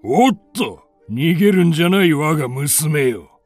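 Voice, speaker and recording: A mocking, money-hungry villain from a radio drama. He drawls his taunts slowly in a rough, husky voice over faint music. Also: a little high, animated, no room sound. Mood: greedy taunting. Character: sly, mocking bandit father, greedy for money. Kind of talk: Japanese radio drama acting.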